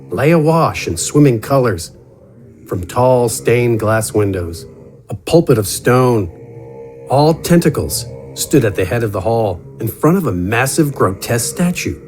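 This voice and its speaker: Male narrator for fantasy audio fiction: mid-range voice, lively intonation, theatrical, measured delivery. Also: medium pitch. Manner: dramatic, deliberate storytelling. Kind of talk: scripted fantasy story narration.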